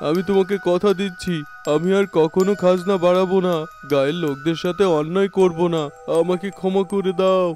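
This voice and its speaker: A man's voice: high voice, fairly even intonation, a little fast, a little mellow, soft background music, lightly acted. A man voicing an imperious, greedy village landlord in a cartoon, with a bossy manner. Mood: pleading. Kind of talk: cartoon dubbing.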